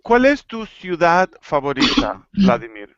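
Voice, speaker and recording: Argentine-raised male teacher running a playful online class; smooth, bouncy voice over a dull, dry call line. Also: fairly high pitch, wildly swooping pitch, a bit bright, no room sound. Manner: playful, laughing, slow and clear when teaching. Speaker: Spanish teacher. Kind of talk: live online language class.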